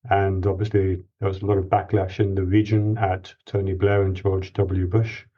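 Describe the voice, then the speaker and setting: A man in his forties from Greece, a guest expert giving measured, explanatory interview answers with restarts. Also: low-pitched, flat delivery, muffled recording.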